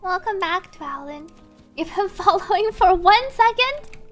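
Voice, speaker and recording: Very high-pitched woman streaming a game. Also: expressive, measured pace, soft background music. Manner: playful, chatty, reads on-screen text in a storytelling way. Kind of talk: live gameplay commentary, reading subtitles and narration aloud. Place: indoor stream setup with game music behind.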